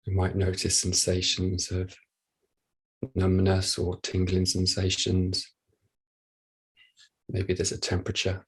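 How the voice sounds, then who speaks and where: A man leading a guided body scan meditation, speaking gently and without hurry, leaving long pauses. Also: deep voice, monotone, breathy edge. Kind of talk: guided meditation, soft instruction.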